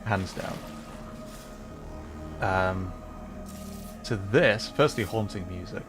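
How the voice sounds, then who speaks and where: Man, low voice, live game commentary, enthusiastic rambling, music in background. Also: slow. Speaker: game streamer.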